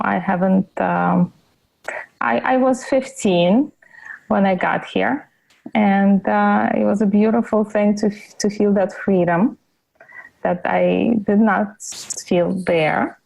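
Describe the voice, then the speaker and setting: A woman's voice: mid-range voice, gravelly, low-quality recording. A middle-aged woman from Ukraine with a Russian accent, a podcast guest on a video call who talks hesitantly with many fillers and restarts. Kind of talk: interview over video call.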